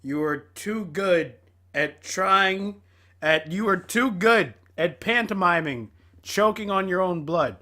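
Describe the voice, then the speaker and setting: An excitable host giving casual, exclamatory, dramatic reaction commentary. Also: mid-range voice, slow.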